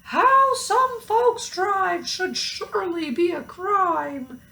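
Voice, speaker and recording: A woman's voice: very high-pitched, big pitch swings, very slow, gravelly, bright, forceful tone, pitched above the performer's own voice, heavily acted. A woman doing a prim, chatty cow. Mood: indignant. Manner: prim, exclaiming. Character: chatty, excitable cow joyriding a truck. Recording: echoey room.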